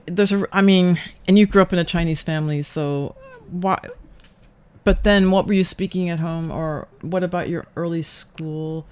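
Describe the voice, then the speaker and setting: A mature woman hosting a casual interview, thinking aloud in halting questions that often trail off. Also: fairly low pitch, fairly even intonation, darkish tone, clear, resonant tone. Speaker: radio interview host.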